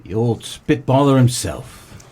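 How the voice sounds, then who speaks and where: A man playing a wisecracking, deadpan 1940s private detective in a comedic noir radio play, with terse, slangy tough-guy delivery and a husky voice. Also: mid-range voice, unhurried. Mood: cool, wry. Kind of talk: comedic noir radio play, first-person narration and dialogue.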